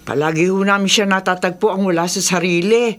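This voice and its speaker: Female actor as anxious village mother, mid-pitched, deferential, superstitious, Filipino radio drama. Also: fairly even intonation, brisk, a bit bright, close to an everyday voice. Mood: grave warning. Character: worried village mother, respectful, superstitious, ominously warning.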